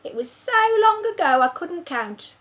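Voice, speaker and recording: A woman's voice: extremely high pitch, sing-song, unhurried, a bit bright, voice pushed up, heavily acted. A woman voicing a kindly, very old male giant who mangles his grammar and invents words. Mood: sad.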